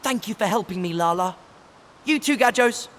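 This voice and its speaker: A man's voice: high-pitched, lively intonation, moderate pace, a bit bright. A man playing an earnest, polite, self-assured little boy in a video game, with simple, stiffly formal phrasing and long reverb around the voice. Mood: eager, grateful.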